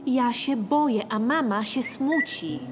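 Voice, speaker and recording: Slow, timid little girl, high-pitched. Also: over music. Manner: childlike, hesitant, innocent. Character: timid, earnest little girl from the other world. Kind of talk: children's radio play acting.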